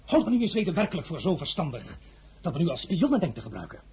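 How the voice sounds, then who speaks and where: A bossy sarcastic emperor in a radio play, dark mellow tone but really husky, curt and formal. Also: male voice, fairly high pitch, big pitch swings, quick pace, heavily acted. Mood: sardonic. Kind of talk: Dutch radio drama dialogue.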